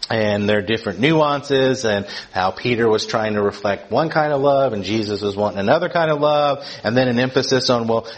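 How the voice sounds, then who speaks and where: A man's voice: mid-range voice, low-quality recording. A middle-aged man from Georgia, preaching a casual teaching sermon with frequent "right?" check-ins to the room. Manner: conversational, keeps asking "right?" to the room.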